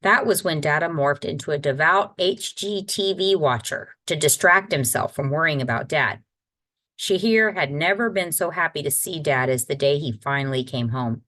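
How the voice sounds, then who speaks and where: Woman with a low voice narrating a kids novel, read aloud from the page. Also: moderate pace, a bit bright. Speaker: guest reader. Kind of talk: scripted narration, reading a children's novel aloud.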